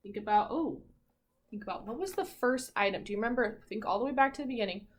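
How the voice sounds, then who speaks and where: A woman teacher reading a picture book aloud to children in an expressive, encouraging way, pausing to ask her listeners questions. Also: fairly high pitch.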